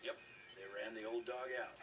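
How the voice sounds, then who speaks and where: A man playing a seasoned, disillusioned career soldier in a video game, plain-spoken and brisk. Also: medium pitch, fairly even intonation, recorded from a distance, background noise, close to an everyday voice. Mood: resolute, bitter.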